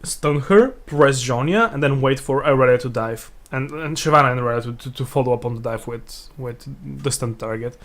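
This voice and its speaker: Chill guy co-casting a game, mid-pitched voice, kinda halting, repeats words while he thinks. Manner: relaxed, hesitant with fillers and repeated words, laughs easily. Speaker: esports co-caster. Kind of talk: casual game commentary.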